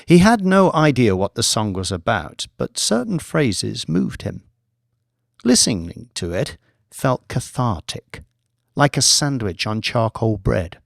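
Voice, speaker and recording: A man's voice: mid-range voice, creaky, no room sound, clean studio sound. A man narrating a novel as a scripted audiobook reading.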